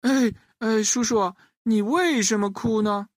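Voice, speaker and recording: A woman's voice: a little high, measured pace, no echo, clean studio sound, pitched above the performer's own voice. A woman voicing a kind, clever little cowherd boy, cheerful, confident and eager, in a children's story. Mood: curious. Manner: eager, repeats words when calling out.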